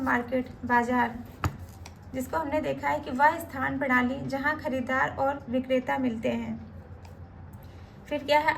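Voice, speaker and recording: A woman with a high voice, made hoarse and gravelly by a cold, talks through lesson notes as a teacher. She keeps posing a question to herself and then answering it. A faint hiss of noise sits under her voice. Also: poor audio. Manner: asks herself rhetorical questions before each answer. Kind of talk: exam-prep lesson reading from notes.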